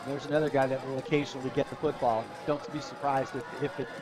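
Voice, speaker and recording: An American man giving conversational, folksy color commentary on a high school football game for radio. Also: level tone, fast talker. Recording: loud crowd behind outdoor broadcast. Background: stadium crowd.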